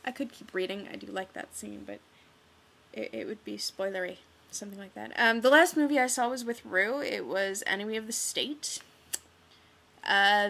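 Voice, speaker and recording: A bright-voiced young woman from Kansas reads a story aloud, then drifts into casual chat. She fills pauses with ums and restarts. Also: medium pitch. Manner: chatty with hesitant fillers. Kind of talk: casual voice blog, reading a book aloud.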